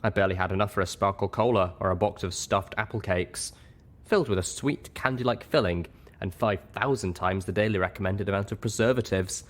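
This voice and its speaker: A man narrating a first-person story in an expressive audiobook reading style. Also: fairly low pitch, brisk.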